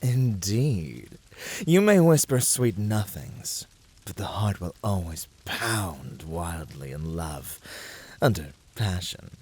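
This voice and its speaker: Casting: male actor as flamboyant incubus, teasing and dramatic, slow-paced, wildly swooping pitch. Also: sing-song, a little mellow, slightly rough, heavily acted. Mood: passionate. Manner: teasing, dramatic, flirtatious delivery. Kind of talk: scripted flirty ASMR roleplay.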